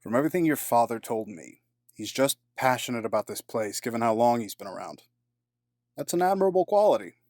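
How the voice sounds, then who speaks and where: A man playing a diplomatic confidant in an audio drama, speaking in measured, rather formal phrases. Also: medium pitch, animated, darkish tone, no room sound, studio quality, close to an everyday voice. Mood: calm, tactful.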